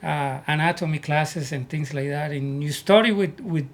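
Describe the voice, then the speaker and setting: A man from Puerto Rico with a Puerto Rican Spanish accent, telling his life story as an interview guest in earnest, halting English. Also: a little high. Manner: earnest, repeats words as he searches for English. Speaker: guest, army veteran.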